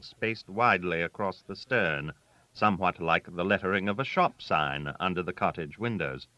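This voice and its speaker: A man narrating a literary story aloud in a theatrical, deliberate way, with dramatic pauses. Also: on the low side, bright, forceful tone, no room sound. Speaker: audiobook reader.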